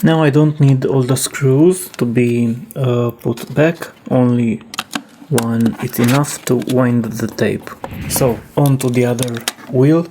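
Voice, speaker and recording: A man narrating a hands-on repair in a casual, halting way, thinking aloud as he works. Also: medium pitch, fairly even intonation, noisy background. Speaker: host demonstrating a cassette repair.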